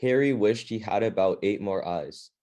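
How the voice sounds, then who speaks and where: Low, mellow male reader. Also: moderate pace. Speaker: reading club leader. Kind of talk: reading a children's fantasy novel aloud to a group.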